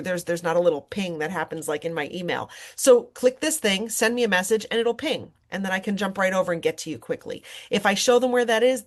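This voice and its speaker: Woman, slightly low pitch, workshop presenter, informal software tutorial, conversational, encouraging, personal examples. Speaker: workshop presenter, online course instructor.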